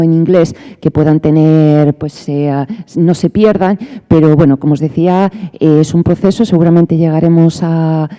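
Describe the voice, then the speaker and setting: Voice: mid-range voice, dark tone. Hesitant host in an echoey hall. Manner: hesitant, many fillers. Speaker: event host. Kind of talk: introducing speakers.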